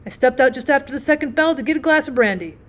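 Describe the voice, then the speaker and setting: A woman voicing a giddy, boastfully happy newlywed gentleman in an audiobook, tipsy, effusive and rambling. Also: sits high, animated, fast. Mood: flustered, cheerful. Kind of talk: acted audiobook storytelling.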